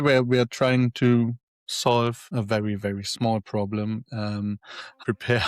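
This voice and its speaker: A man with a fairly low voice explains his views as an interview guest. The talk is conversational, recorded dry with little room sound. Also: no room sound. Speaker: guest, digital transformation and AI consultant.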